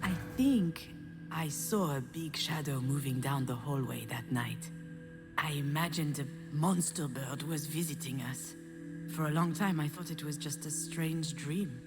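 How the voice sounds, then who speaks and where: A woman's voice: sits low, unhurried, music in the background. An actress voicing a reflective, wistful woman who recalls her childhood in a conversational way, in a visual novel game. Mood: pensive, uneasy. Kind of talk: visual novel voice acting, reminiscing narration.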